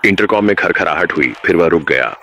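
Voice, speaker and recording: A man narrating a scripted horror story in a measured, ominous manner. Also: low-pitched, animated, creaky, noisy background.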